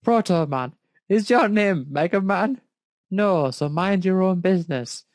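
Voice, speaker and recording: A man voicing a rude, hot-headed male robot fast-food worker in a fan fiction reading. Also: sits high, expressive, dry recording, studio quality. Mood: annoyed.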